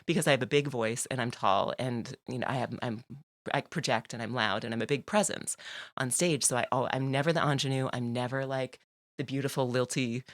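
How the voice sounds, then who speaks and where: A woman's voice: a bass voice, fairly quick, no echo, clean studio sound. A woman guest on a podcast, talking casually about her life, with frequent laughter and lots of "like" and "you know". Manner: chatty, laughs often, many fillers. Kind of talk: casual conversational interview.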